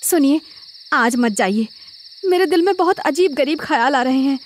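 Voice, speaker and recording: Woman acting a high-voiced, anxious village wife. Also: lively intonation, moderate pace, a little hiss or hum. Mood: anxious, pleading. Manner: pleading. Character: Pooja, anxious pleading young village wife. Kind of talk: dramatised horror cartoon story.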